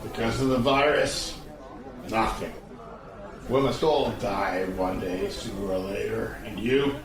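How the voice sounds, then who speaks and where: Man playing cynical, war-weary soldier, slow and low, sardonic and blunt, audio drama pub scene with crowd chatter. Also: vocal fry. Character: world-weary cynical male soldier back from border duty. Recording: distant, very echoey, crowd noise behind.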